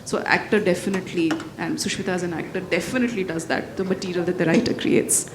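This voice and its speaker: A woman's voice: steady tone, quick pace. A woman writer whose first language is Bhojpuri answers questions on a panel, thoughtful and full of fillers and restarts. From India. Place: event hall with audience. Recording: echoey hall, faint rumble.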